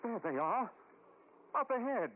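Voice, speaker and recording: A tinny old radio recording of a terrified man in a horror play. His high voice swoops wildly as he repeats himself in panic. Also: very animated, unhurried, slight background noise, heavily acted. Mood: alarmed. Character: frightened, frantic man calling after the doctor.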